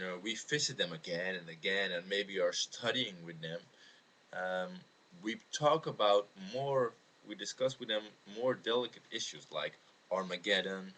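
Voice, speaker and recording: A man with a Dutch accent explains his views in a halting, earnest way, speaking unscripted into a webcam. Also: deep voice, sharp tone. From the Netherlands. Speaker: amateur video maker answering a viewer's question. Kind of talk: unscripted spoken video response on religion. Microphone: webcam microphone. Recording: noisy home webcam recording.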